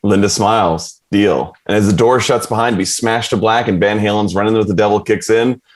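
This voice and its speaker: Male narrator, slightly low voice, reads screenplay action lines informally. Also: fairly quick. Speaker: narrator reading stage directions.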